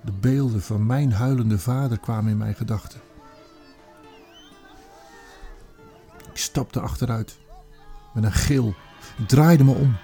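Guy acting a haunted old roman soldier telling his story, halting and theatrical, radio play style. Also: medium pitch, warm tone, lightly acted. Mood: shaken, grim. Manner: theatrical, halting, reflective, with emphatic repeated words. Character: old Roman army commander, haunted, looking back on atrocity. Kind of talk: dramatic radio play monologue.

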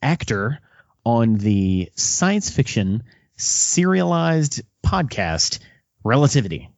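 A man interviewing as a podcast host in a casual, halting style, often repeating words as he goes. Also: mid-range voice, sing-song, gravelly, no room sound, muffled recording.

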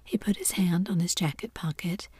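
A woman narrating a classic story in a steady, hushed whisper. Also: fairly low pitch, moderate pace, slightly rough, no echo.

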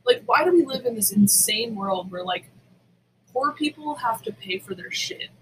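A woman's voice: on the high side, lively intonation, normal speed, a little hiss or hum, poor audio. A woman co-hosting a casual chat, speaking emphatically and indignantly, swearing freely and repeating words for stress. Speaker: podcast co-host.

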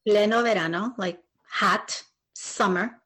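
A woman's voice: mid-range voice, takes it slowly, a bit bright, slightly rough. A woman in her sixties from Argentina, a native Spanish speaker, telling her story in an interview as a retired translator.

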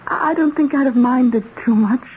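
An actress playing a tender, distressed young woman in a radio drama, halting and emotional. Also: sits high, close to an everyday voice. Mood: tearful, tender. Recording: muffled, noisy old radio recording.

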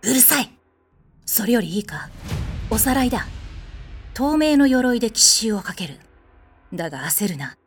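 A woman's voice: fairly high pitch, animated, normal speed, long pauses, husky, soft background music. A woman playing a haughty, blunt warrior goddess in a comedic audio drama, speaking in an imperious, curt way with a protective streak. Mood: instructing. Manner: imperious, commanding, curt masculine phrasing.

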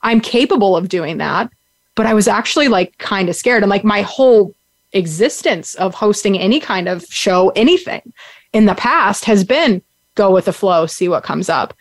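A woman's voice: medium pitch, animated, normal speed, no echo. Chatty young woman host, dry sound. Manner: rambling, upbeat, many fillers like "like" and "um". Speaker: podcast host. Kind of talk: casual chat recap. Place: sitting on the ground.

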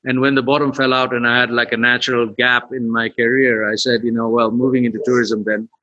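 A man's voice: mid-range voice, little pitch movement, fairly quick, sharp tone, dry recording. A man from Nepal with a Nepali accent, answering conversationally as an interview guest over a dull, lo-fi connection. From western Nepal. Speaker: podcast guest, tour company founder.

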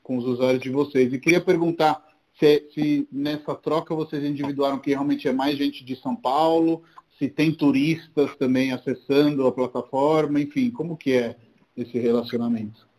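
A man's voice: medium pitch, steady tone, normal speed, a little mellow, rough recording. A man from Rome, a native Italian speaker, conducting a casual, conversational interview. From Rome, Italy.

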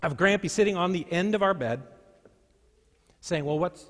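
A male pastor preaching a sermon, reading scripture and telling stories with deliberate, dramatic pauses and emphasis. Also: high voice. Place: large church sanctuary. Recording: echoey hall with long reverb.

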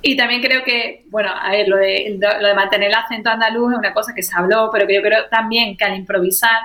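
A woman guest in a casual chat, telling stories in a relaxed way with fillers and wry irony. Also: mid-range voice, quick pace. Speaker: guest, actress in a short film.

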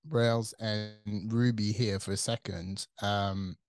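A man's voice: lowish voice, little pitch movement, takes it slowly, smooth, dry recording, clean studio sound. A man in his forties from France with a French accent, talking casually as an interview guest, thinking aloud with fillers and restarts. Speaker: guest, tech company CTO.

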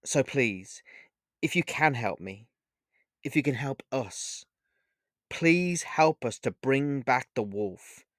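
A man's voice: medium pitch, lively intonation, no echo, studio quality, pitched above the performer's own voice. Man doing a pleading female werewolf. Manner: earnest, pleading, halting. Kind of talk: storybook character voice.

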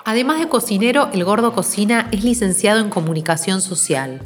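A woman's voice: mid-range voice, expressive, a little fast, music in the background. A woman hosting an interview, asking short, curious questions and reading a short narration. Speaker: interview host.

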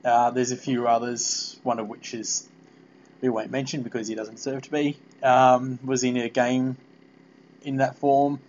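A man hosting a casual podcast interview, talking in a halting, meandering way. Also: mid-range voice, flat delivery, a little mellow, a little hiss or hum. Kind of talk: casual fan interview chat. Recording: dull, lo-fi podcast audio.

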